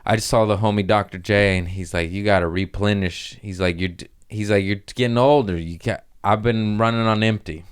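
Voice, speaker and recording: A man's voice: fairly low pitch, steady tone, fairly quick, few pauses, clear, resonant tone. A man hosting a podcast, chatting in a casual, comedic way with plenty of slang and swearing. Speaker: podcast host.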